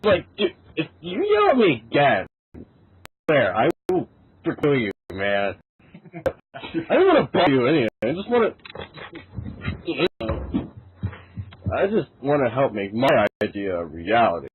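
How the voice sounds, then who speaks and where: A man voicing an earnest, clingy young man in an amateur fan fiction reading, with a halting, dude-ish delivery. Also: mid-range voice, huge pitch swings. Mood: whiny, indignant. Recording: tinny, band-limited, noisy amateur voice recording.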